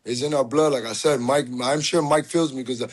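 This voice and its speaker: A man's voice: mid-range voice, fairly even intonation, fairly quick. A man from Cuba, a fighter guest on a podcast, answering in a humble but confident, easygoing way. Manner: humble, confident, often says "you get me" and "yes sir". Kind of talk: casual interview answers.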